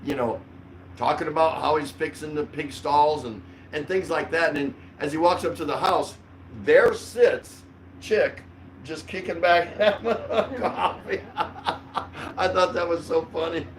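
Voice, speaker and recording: A man's voice: a little high, unhurried, slight background noise, low-quality recording. An older man hosting a casual livestream, rambling along in a jokey, mildly profane way. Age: older, around 60. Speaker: livestream host. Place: small curtained room indoors.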